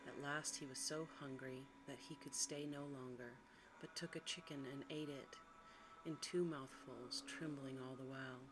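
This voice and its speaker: A woman reads a bedtime story in ASMR style, in a soft, breathy, hushed and soothing whisper. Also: rumbling low, fairly even intonation, normal speed. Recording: clearly audible background noise.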